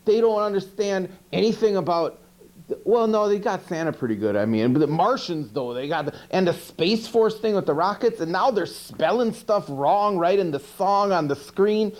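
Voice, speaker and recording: A man's voice: high voice, lively intonation, moderate pace, darkish tone. A man playing a grumpy, dim monster who hosts a TV horror-movie show, rambling and complaining in exasperated disbelief. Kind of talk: rambling comedic hosted segment. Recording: 1980s VHS TV recording with music.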